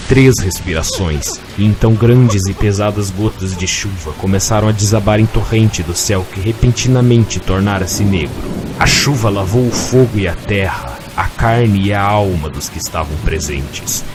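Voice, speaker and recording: A man with a Brazilian accent, narrating a scripted fantasy tale as a dramatic storyteller. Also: fairly low pitch. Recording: music behind the voice. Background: musical backing.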